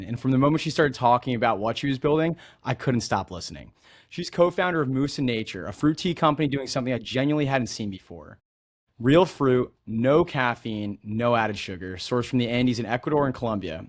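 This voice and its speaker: A man hosting a business podcast, reading an upbeat scripted intro and then chatting in a friendly, loose way with his guest. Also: medium pitch. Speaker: podcast host.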